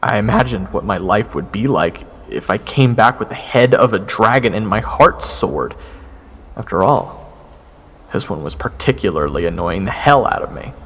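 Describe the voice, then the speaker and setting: A man's voice: on the low side, expressive, moderate pace, fairly bright tone, husky. A man playing a nervous young swordsman, narrating a fantasy encounter in the first person with earnest, dramatic delivery. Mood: brooding. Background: fantasy game-style music.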